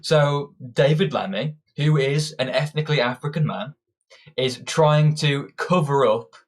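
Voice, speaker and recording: A man's voice: on the high side, a little slow. Combative young man ranting. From Britain. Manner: combative, ranting, frequent restarts. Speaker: political commentator. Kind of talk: opinionated monologue.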